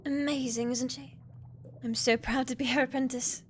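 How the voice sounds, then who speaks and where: A woman playing a shy, eager young witch's apprentice in a fantasy ASMR roleplay, soft and hesitant, often slipping toward a whisper. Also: high-pitched, fairly even intonation, normal speed, slight background noise, close to an everyday voice. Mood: eager, proud.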